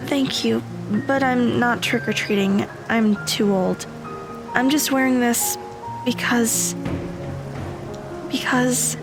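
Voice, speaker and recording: A woman playing a troubled, self-critical teenage girl in an audio drama, kind and quiet by nature. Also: on the high side, slow pace, pauses often. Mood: polite, hesitant. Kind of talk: audio drama acting with inner monologue. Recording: music behind the voice.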